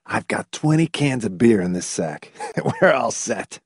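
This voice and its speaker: A man's voice: on the low side, sing-song, unhurried, slightly rough, no room sound, clean studio sound, exaggerated voice. A man voicing a crafty, easygoing, beer-loving pal in an audiobook, with a chummy manner. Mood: crafty, jovial.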